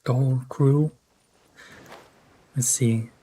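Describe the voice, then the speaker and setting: A man's voice: medium pitch, steady tone, takes it slowly, warm tone, breathy edge. A young man doing casual live gameplay commentary, slangy, rambling and self-deprecating. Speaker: game streamer.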